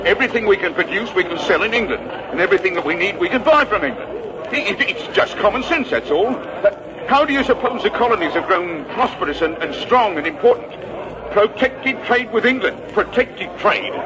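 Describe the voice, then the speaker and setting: A man's voice: high voice, animated, fairly quick. A man playing an indignant, flustered loyalist merchant in a vintage radio drama, stammering and repeating himself as he blusters. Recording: dull old radio recording, crowd noise.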